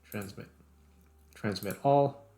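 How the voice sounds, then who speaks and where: Low-voiced man thinking aloud over gameplay. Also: slow pace, mellow. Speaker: host playing and narrating a video game.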